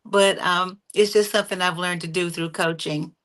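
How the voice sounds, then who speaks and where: A woman with a bright, forceful voice tells her stories at an easy pace with lots of fillers. She sounds middle-aged and speaks like a guest sharing memories in a relaxed chat. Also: on the low side, moderate pace. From North Carolina. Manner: animated, warm storytelling with frequent fillers. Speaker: singer and interview guest. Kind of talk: conversational storytelling.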